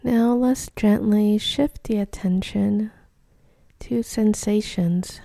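A woman guides a meditation in a deeply relaxed, dark voice. She speaks slowly and gently, almost whispering, and leaves long pauses. The sound is close and dry, with no room around it. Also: vocal fry, no echo.

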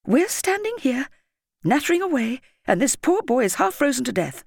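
A woman voicing a warm, indignant school headmistress addressing children emphatically in an audiobook story. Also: high voice, very animated, normal speed, vocal fry, dry recording, clean studio sound, voice pushed up, heavily acted. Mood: brisk, rallying. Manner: emphatic, exclaiming, addressing a crowd.

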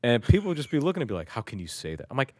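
A man's voice: medium pitch, sing-song, racing, a little mellow, husky, no echo. A man from Turkey talking in loose casual chat, rambling and self-mocking, with lots of swearing and restarts.

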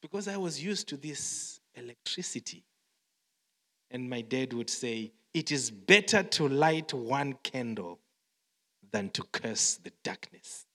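A man's voice: medium pitch, big pitch swings, takes it slowly, sharp tone. A man from Zimbabwe preaching a sermon, repeating phrases, quoting songs and restarting his words often. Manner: repetitive, song-quoting, stammering restarts. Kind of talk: sermon with testimony. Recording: long hall reverb.

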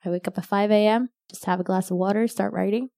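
A young woman whose first language is Arabic, chatting casually as a guest in an interview, self-deprecating and quick to laugh. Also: medium pitch, steady tone, creaky, warm tone, dry recording. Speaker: novelist guest.

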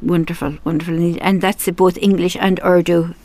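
A woman's voice: lowish voice, level tone, fairly quick, a little mellow. A woman hosting a radio interview from a studio, friendly and encouraging, with frequent affirmations. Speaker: radio show host.

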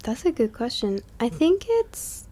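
A woman's voice: high-pitched, big pitch swings, unhurried, mellow. A young woman from Massachusetts, a musician guest on a podcast, talking casually with lots of likes and ums. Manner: chatty, laughs often, many likes and ums.

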